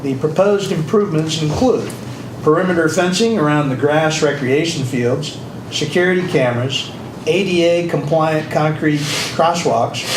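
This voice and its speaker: A man reading department reports at a city council meeting in a steady, plain way with filler pauses. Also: on the high side, background noise. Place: council chamber. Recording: roomy council chamber mic from a distance.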